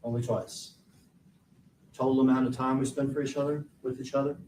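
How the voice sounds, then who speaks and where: Male lawyer, measured questioning, mellow tone, distant roomy courtroom sound. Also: medium pitch, flat delivery, a little hiss or hum. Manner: slow, deliberate questioning. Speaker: prosecutor. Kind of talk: courtroom direct examination. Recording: roomy courtroom audio from far off.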